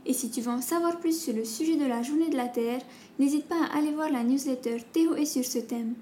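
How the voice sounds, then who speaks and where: A woman's voice: high voice, brisk, mellow, slight background noise. A woman hosting a podcast, thanking guests and reading a composed outro. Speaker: podcast host.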